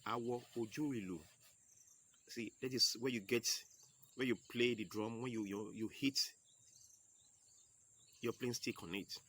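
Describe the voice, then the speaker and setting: A man with a Yoruba accent teaching a home video lesson, going slowly and repeating each key term step by step. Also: medium pitch, steady tone, a bit bright, husky. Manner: slow, repeats key terms, explains step by step. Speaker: percussion teacher.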